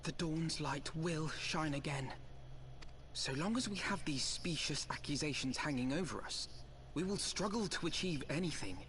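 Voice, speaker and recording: An earnest young leader in a video game cutscene. He has a somewhat high voice and speaks with measured, formal eloquence, over faint background ambience. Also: animated, a bit bright, slight background noise. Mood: resolute.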